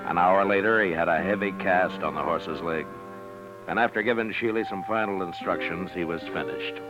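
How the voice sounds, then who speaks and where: A man's voice: deep voice, moderate pace, close to an everyday voice. A man playing a stern, laconic Old West lawman in 1950s radio drama style, terse and authoritative. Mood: measured narration. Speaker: lead actor and narrator. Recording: dull vintage radio recording with music.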